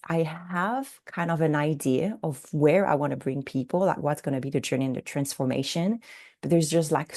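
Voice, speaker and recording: A woman's voice: low voice, mellow. A French woman in her forties with a French accent introducing herself on a group video call, hesitant and searching for her words. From France. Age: 40s. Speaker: coaching program participant.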